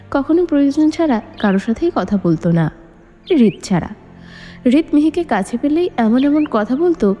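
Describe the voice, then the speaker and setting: Lady narrating a love story, soft mellow tone, big reverb w music behind. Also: mid-range voice. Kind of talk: dramatic audiobook narration of a romance novel. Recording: long reverb, music underneath. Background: background music.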